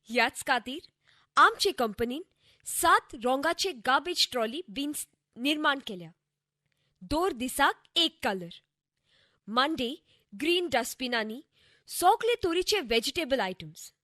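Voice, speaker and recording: A woman's voice: high-pitched, expressive, unhurried, pauses often, bright, forceful tone, no echo, studio quality, full character voice. A woman playing a formal, upbeat company presenter with a Goan accent, giving a speech in a radio play. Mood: formal, proud.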